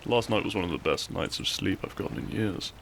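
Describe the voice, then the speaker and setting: A man playing a cheeky, tender and protective young bandit outlaw in an intimate romantic audio roleplay, speaking in a gentle, courtly, teasing way. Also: deep voice, animated, fairly quick, gravelly, slight background noise, full character voice. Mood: tender, hopeful.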